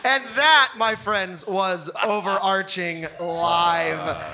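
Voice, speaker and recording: A man's voice: sits high, very slow, a crowd in the background. A man co-hosting a comedy podcast, playful and joking, recorded live at a venue. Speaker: podcast co-host. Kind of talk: comic banter on a live podcast. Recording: live venue with audience noise.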